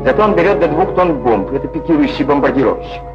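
Male 1940s newsreel narrator, highish voice, declamatory and sardonic, muffled old film sound. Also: lively intonation, quick pace. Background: music.

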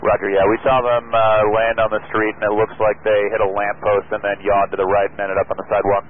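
A man's voice: lowish voice, monotone, fast. A man speaking as a pilot in aviation radio communication, heard through an aircraft radio. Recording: noisy, narrow-band aircraft radio transmission.

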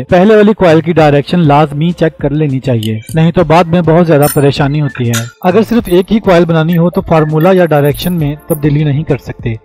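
High-pitched man narrating a how-to. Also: dark tone. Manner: plain step-by-step explaining. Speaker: repair tutorial host. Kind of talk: scripted instructional voice-over. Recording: music behind the voice. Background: background music.

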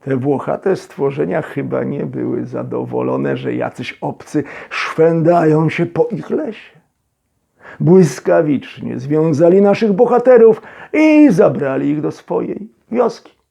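Gravelly male storyteller, slow and dramatic. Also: a little high. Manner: expressive, theatrical, suspenseful phrasing with dramatic pauses. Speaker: storyteller, actor reading a children's audio story. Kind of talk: dramatic scripted storybook narration.

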